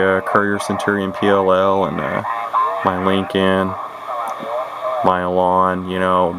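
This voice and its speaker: A man giving a rambling, casual showcase as a radio collector, halting and repeating his words as he goes. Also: low-pitched. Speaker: radio collector presenting his own equipment. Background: radio speaker playing static.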